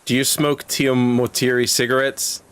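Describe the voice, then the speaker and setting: An actor playing a composed, probing police lieutenant in a video game, asking questions in a measured, matter-of-fact way. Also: male voice, medium pitch, little pitch movement, a bit bright, clean tone, close to an everyday voice. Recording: faint music under the voice.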